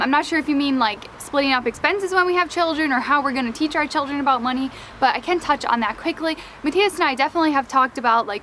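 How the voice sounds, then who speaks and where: A woman's voice: high-pitched, a bit bright, a little hiss or hum. A young woman from Wisconsin with a slight Wisconsin accent, chatting casually to camera in a Q and A vlog, full of "like" fillers. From United States, Wisconsin. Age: young adult. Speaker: vlogger.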